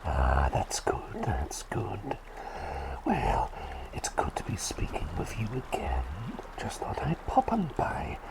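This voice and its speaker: A man voicing a kindly, forgetful doctor turned talking bird in a gentle ASMR roleplay, breathy and half-whispered, often hesitating and repeating his phrases. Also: lowish voice, wildly swooping pitch, slow pace, exaggerated voice, low-quality recording. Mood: gentle, curious. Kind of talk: ASMR roleplay with personal attention.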